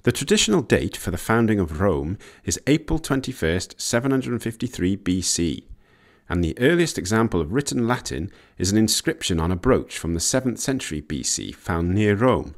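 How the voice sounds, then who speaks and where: A man's voice: on the low side, sing-song, normal speed. A man reading scripted narration for an educational video about language, as its narrator.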